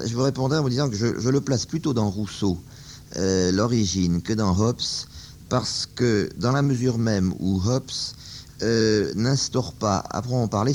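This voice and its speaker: Halting low-voiced man answering questions. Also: a little mellow, a little hiss or hum. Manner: hesitant, halting, many fillers and restarts. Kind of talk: spoken philosophical discussion.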